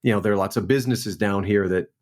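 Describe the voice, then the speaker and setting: A man's voice: lowish voice, fast talker. Laid-back man telling his story. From New Orleans, Louisiana. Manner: relaxed, storytelling, frequent "man" and "you know". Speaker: guest actor. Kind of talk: casual interview chat.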